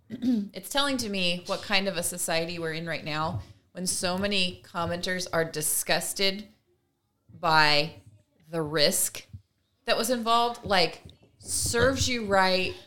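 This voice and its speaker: A woman talks as a co-host in a casual, conversational style. Her voice sits a little low but is bright and forceful, and she slips into imagined quotes to make her points. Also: slow. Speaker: podcast co-host.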